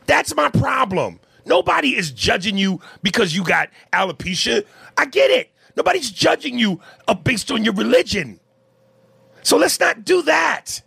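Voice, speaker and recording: A Canadian man with a gravelly voice talks bluntly and swears freely. He co-hosts a loose talk show, reading out listener comments and pushing back hard. His voice climbs into loud, emphatic bursts when he makes a point. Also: very high-pitched, big pitch swings, moderate pace, dry recording. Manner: blunt and profane, rising into loud emphatic rants. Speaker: podcast co-host.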